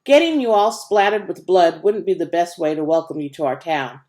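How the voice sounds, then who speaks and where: A woman's voice: lowish voice, moderate pace, darkish tone, close to an everyday voice. Woman voicing a somber, sighing grown man. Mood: gloomy. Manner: somber, mumbling. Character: gloomy, sighing grown man, slaughterhouse office boss.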